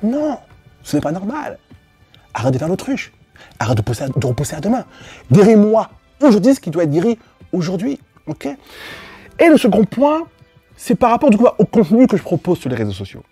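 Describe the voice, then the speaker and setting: A man's voice: high-pitched, sing-song, brisk, long pauses, mellow, breathy edge, no echo, soft background music. A man coaching women in a motivational monologue, blunt and profane, repeating his points and speaking straight to the listener with prodding questions. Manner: blunt and profane, with emphatic repetition. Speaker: dating and life coach for women. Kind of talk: motivational monologue addressed to viewers.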